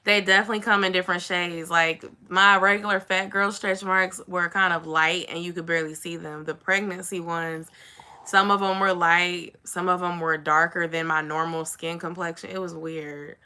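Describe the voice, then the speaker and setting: Young woman vlogger, somewhat raspy, lowish voice, chatty and casual. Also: level tone, normal speed, bright, forceful tone. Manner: chatty, says "y'all" and "like" often. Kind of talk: casual chat to camera.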